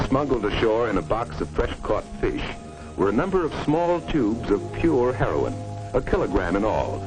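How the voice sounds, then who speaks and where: A man narrates a vintage educational film from the 1950s. His low voice moves with lively emphasis, grave and dramatic. Music plays behind him on the old film soundtrack. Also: low-quality recording. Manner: grave, stark, dramatic delivery.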